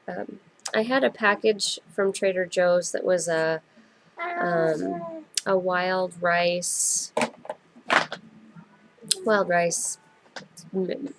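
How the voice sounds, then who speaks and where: A woman's voice: lowish voice, creaky, background noise. A woman in her thirties from the United States, hosting her own podcast in a casual, rambling way with lots of ums, her nose stuffed up from a cold. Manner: rambling, many ums and self-corrections. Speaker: podcast host. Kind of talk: casual solo podcast chat.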